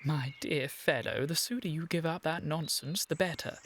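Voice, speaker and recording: Radio comedy: earnest, exasperated English gent, raised pitch, reproachful and proper. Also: male voice, normal speed, a bit bright. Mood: exasperated insistence. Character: indignant, earnest, exasperated young English gentleman. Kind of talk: comic period radio play acting. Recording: clear background ambient noise.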